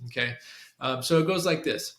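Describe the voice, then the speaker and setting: A man giving an instructional walkthrough as a trainer, restarting phrases and often checking in with a quick question. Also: medium pitch, fairly bright tone. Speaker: sales call trainer for a clinic. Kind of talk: instructional screen-share walkthrough with demonstrated scripts. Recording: roomy, echoing room sound.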